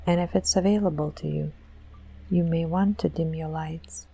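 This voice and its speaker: A woman leading a guided meditation in a gentle, soothing, deliberate manner with long pauses. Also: sits low, steady tone, creaky, husky, rough recording.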